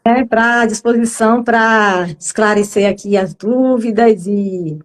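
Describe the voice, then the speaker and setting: A woman's voice: on the high side, fairly even intonation, a little slow. A middle-aged woman, a nutritionist guest who speaks modestly and politely in a live interview. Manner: modest, polite, with many fillers.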